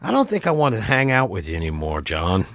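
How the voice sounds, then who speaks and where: Male player as male tabletop-game cleric: sincere, plainspoken optimist, unhurried delivery, lively inflection, dry recording. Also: fairly low pitch, no room sound, pitched below the performer's own voice, heavily acted. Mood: disappointed, firm. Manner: earnest, plainspoken, slow deliberate phrasing.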